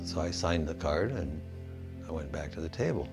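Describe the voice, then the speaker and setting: A man's voice: a bass voice, animated, moderate pace. A man in his sixties telling his story as a missionary in a documentary interview. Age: 60s. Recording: music behind the voice.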